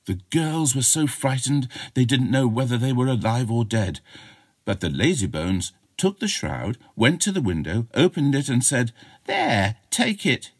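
A man's voice: mid-range voice, sing-song, moderate pace, a little mellow, dry recording, studio quality. A man narrating a short chiller as an audiobook reader, in a dramatic storytelling style.

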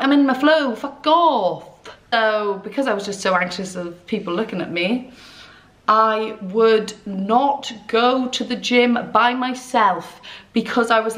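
A young woman, chatting candidly to camera and gesturing as she talks from an armchair in a small dim room. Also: a little high. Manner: chatty, candid, expressive hand gestures, swearing. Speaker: vlogger. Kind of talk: casual chat to camera.

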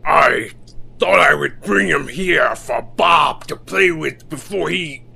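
A man playing a dim, servile horned monster henchman with a gravelly voice in an audio drama. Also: on the high side, slow, pushed and bright, full character voice. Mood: servile, confused.

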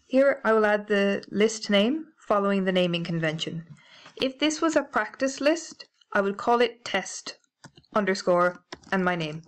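A woman with a British accent narrating a library software tutorial, clear and methodical, spelling out codes letter by letter. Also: medium pitch, animated, unhurried. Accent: British English. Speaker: library staff trainer. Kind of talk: instructional screencast narration.

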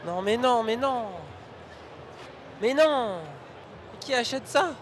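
A man's voice: very high-pitched, lively intonation, slow pace, a little hiss or hum. A man in his twenties joking casually as he hosts a vlog, speaking into a handheld mic at a convention. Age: 20s. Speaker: vlog host at a fan convention. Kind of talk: casual joking street interviews. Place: large busy convention hall. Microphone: handheld microphone. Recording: busy convention crowd behind.

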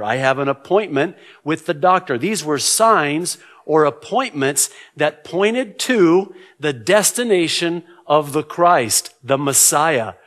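A middle-aged man whose native language is Arabic teaches from a church lectern. His voice sits a little high for a man. He speaks very slowly, explaining each point in a measured way and restating key words. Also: a bit bright. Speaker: pastor. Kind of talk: sermon, Bible prophecy teaching. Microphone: gooseneck lectern microphone.

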